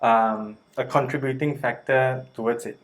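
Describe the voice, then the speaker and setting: A man hosting an interview, introducing his guest and asking questions in a polite, measured way with hesitant starts. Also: medium pitch, steady tone, rough recording. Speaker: interviewer, host.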